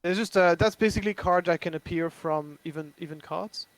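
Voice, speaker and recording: A man's voice: high voice, brisk, poor audio. A man with a French accent, chatting casually about a board game he designed, hesitant with many restarts. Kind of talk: casual chat.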